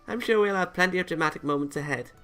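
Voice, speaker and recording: Man putting on an excitable, higher-pitched anime girl. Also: quick pace, smooth, faint music behind. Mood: upbeat.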